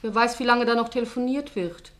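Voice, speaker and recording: A woman's voice: a little high, fairly quick, lightly acted. An actress playing an irritable, impatient woman at a card table in a German radio play, with snippy delivery. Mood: exasperated. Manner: snippy, exasperated. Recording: faintly noisy radio play recording.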